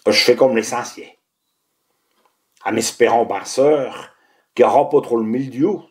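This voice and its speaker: A man's voice: medium pitch, unhurried. A man with a Picard accent from the Tournai area, reciting a monologue in dialect. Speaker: monologue performer.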